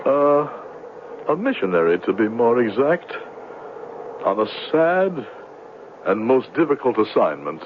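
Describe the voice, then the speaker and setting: An actor playing a smooth-talking swindler who poses as a solemn, pious missionary in a 1970s radio drama, with theatrical hesitations. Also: male voice, medium pitch, sing-song, a little slow, pauses often. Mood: solemn, sorrowful. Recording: muffled, noisy old radio broadcast.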